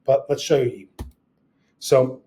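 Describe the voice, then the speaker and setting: A man's voice: mid-range voice, slow pace, warm tone. A man presenting a tutorial in an informal, conversational style. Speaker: presenter of a tutorial on AI video tools.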